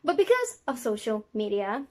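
A woman's voice: fairly high pitch, normal speed. A young woman from South Africa with a South African accent and an American twang, telling a story casually to camera in a small room. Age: young adult. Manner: animated, gestures a lot, slips into quoted voices. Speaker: vlogger. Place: small indoor room against a plain wall.

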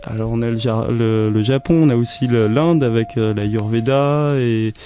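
Male radio guest, thirties, soft mellow tone, searching for his words, close studio mic. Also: medium pitch, fairly even intonation, normal speed, slight background noise. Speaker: guest, wellness fair organiser. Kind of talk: radio interview answers. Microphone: close studio microphone, headphones.

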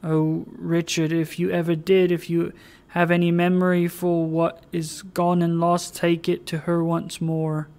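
A reader voicing a sorrowful fallen young woman, speaking in a soft, imploring way. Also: mid-range voice, completely flat delivery, a little slow, clear, resonant tone, close to an everyday voice.

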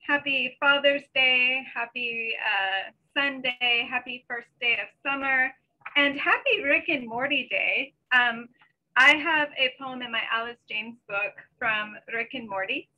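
A woman's voice: high-pitched, unhurried. A middle-aged woman, a poet presenting and reading her own work over a video call from home. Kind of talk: poetry reading with informal introductions. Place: small bedroom at home. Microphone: computer mic on a video call. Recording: slightly dull video call audio.

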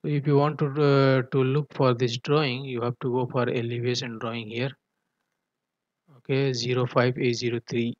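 Halting male tutor, dull lo-fi sound. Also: medium pitch, flat delivery, no room sound. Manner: halting, repeatedly checks with "okay". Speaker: engineering tutor. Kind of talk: tutorial walkthrough of technical drawings. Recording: dull, muffled lo-fi voice track.

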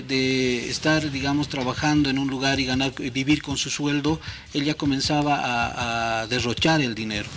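A man, a police major speaking in a TV studio interview, official in tone, often pausing and adding "no?". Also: medium pitch, fairly bright tone, slight background noise. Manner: measured, official, many hesitations and tag "¿no?". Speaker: police major, anti-corruption unit chief.

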